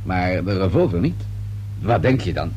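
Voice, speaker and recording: An actor playing a polite, unhurried police detective who questions in a casual, hesitant, probing way in a radio drama. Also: male voice, deep voice, very animated, a little mellow, lo-fi sound. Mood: insistent, probing. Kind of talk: Dutch radio drama acting. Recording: noisy old radio recording with hum.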